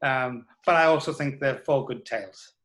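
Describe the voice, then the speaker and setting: A middle-aged man being interviewed as a writer, speaking reflectively and haltingly. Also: medium pitch, fairly bright tone. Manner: thoughtful, halting delivery. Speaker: interviewed writer who adapted his father's stories.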